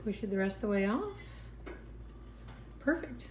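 A woman's voice: medium pitch, animated, moderate pace, darkish tone, a little hiss or hum. A woman in her thirties, a mom hosting a casual family cooking video, patiently walking a child through each step with encouragement, recorded on a counter camera in a home kitchen. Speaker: mom and channel host. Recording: echoey kitchen with clattering dishes.

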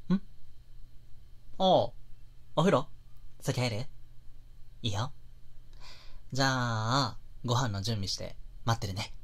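An affectionate, puppyish young boyfriend, acted by a man in an intimate ASMR audio drama. He takes his time, speaking softly in a mid-range voice straight to his partner. He sounds sweet and easily flustered. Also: medium pitch. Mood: gentle, curious. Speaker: net voice actor of boyfriend roleplay audio. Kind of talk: Japanese situation-voice ASMR drama.

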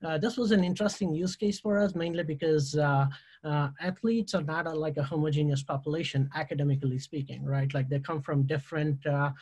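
A man talks through slides on a video call in a slightly high voice. He stops often on fillers and restarts his phrases as he goes. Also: steady tone, darkish tone, breathy edge. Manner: halting, many "uh" fillers and restarts. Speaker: university tech presenter. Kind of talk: conference slide presentation.

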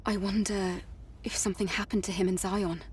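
A woman's voice: medium pitch, fairly even intonation, normal speed, slight background noise, natural voice. Earnest young warrior woman played by an actress, distant. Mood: pensive, determined. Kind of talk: English video game cutscene voice acting.

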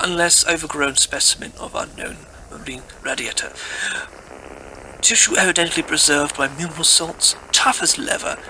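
A man playing an expedition scientist in an audio drama, dictating halting, clipped field reports. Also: on the high side, natural voice. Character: male expedition scientist relaying field discoveries by radio. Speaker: voice actor in an audio play. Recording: clearly noisy background.

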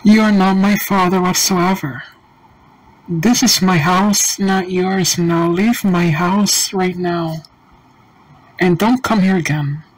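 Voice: mid-range voice, steady tone, low-quality recording. A synthetic voice as a defiant cartoon boy gamer. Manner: defiant, mocking, slow stiff delivery.

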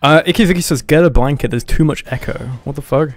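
A man's voice: fairly high pitch, fast. Mumbling man hosting a game stream. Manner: mumbly, hesitant, swears a lot. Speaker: livestream host. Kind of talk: casual gaming stream chat.

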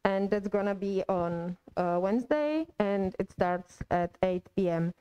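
A woman's voice: mid-range voice, unhurried, mellow, clean tone, lo-fi sound. A young woman holding a mic, hesitantly announcing upcoming events to a student audience. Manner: hesitant, with many uhs. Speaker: event organizer. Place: indoor room. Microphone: handheld mic.

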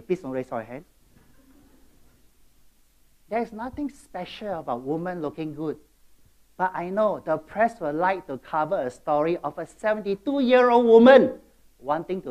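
A middle-aged man with a Singaporean English accent giving a motivational keynote, jumping between loud bursts and quiet asides and checking in with the audience. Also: fairly high pitch. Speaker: keynote speaker.

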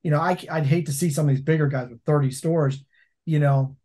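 A man's voice: on the high side, a little fast. A man in his forties, a laundromat owner telling stories in a casual interview over a video call from a home office. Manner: storytelling, animated hand gestures.

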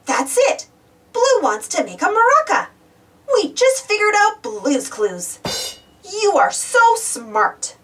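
A woman's voice: very high-pitched, big pitch swings, slow, fairly bright tone, recorded from a distance. A woman reading a children's storybook aloud, exclaiming and putting questions to young listeners. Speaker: storybook reader. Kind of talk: animated children's read-aloud.

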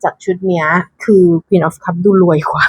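A woman's voice: lowish voice, fairly even intonation, moderate pace, a little mellow, slightly rough, dry recording. A woman giving casual, chatty unboxing commentary, thinking aloud with frequent exclamations and dropping English card names into her talk. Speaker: tarot deck reviewer.

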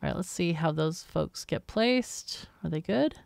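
A woman's voice: on the low side, animated, a little slow, gravelly, darkish tone, no room sound. A woman giving casual game commentary, chatting and thinking aloud as she plays. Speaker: gaming host. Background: game music.

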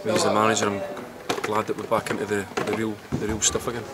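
A middle-aged man, a football manager giving a post-match interview outdoors, halting and repeating words as he thinks. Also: on the low side, completely flat delivery. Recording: loud outdoor background noise.

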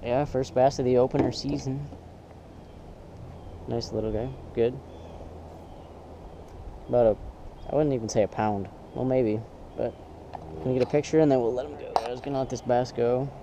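A teenage man fishing from a small boat on a lake, chatting excitedly about his catches, recorded on an action camera outdoors. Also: medium pitch, normal speed, pauses often, noisy background. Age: teens. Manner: excited, exclaiming over catches. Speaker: fishing vlogger. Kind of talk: casual fishing vlog chat.

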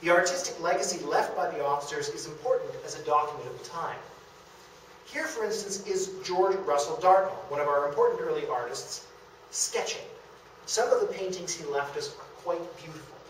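A middle-aged man giving an illustrated history lecture to a room, presenting as the speaker. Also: on the high side. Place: indoor meeting room of a historic house. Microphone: camera across the room, far from speaker. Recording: echoey room, distant, faint hiss.

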